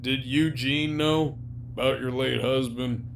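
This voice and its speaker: A man's voice: mid-range voice, steady tone, slow, vocal fry. A man acting in an audio drama as a jaded, sardonic, despairing prisoner villain with a profane, wry, teasing drawl. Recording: faint background hum and noise.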